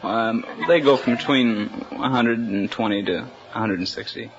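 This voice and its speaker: A man's voice: medium pitch, normal speed. A young American man answering as a radio quiz contestant in an earnest, plainspoken way. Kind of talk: unscripted answers to a radio host. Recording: muffled, noisy vintage radio recording. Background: studio audience.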